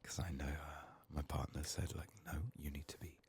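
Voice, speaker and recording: A man doing soft-spoken, often whispered ASMR game commentary, muttering to himself with a voice strained by illness. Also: extremely low pitch, fairly even intonation, normal speed, breathy edge. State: sick, resting a strained voice. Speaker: video game streamer.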